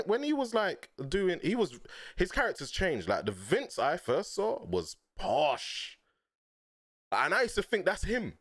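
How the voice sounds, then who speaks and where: A man, chatting casually on a stream from a small dim room through a headset, telling stories in relaxed slang and laughing often. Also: sits high, no echo. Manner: laid-back slangy storytelling, laughs often.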